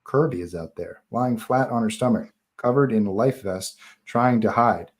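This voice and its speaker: A man's voice: lowish voice, moderate pace. A man narrating stage directions at a live table read of a horror screenplay, in scripted narration style.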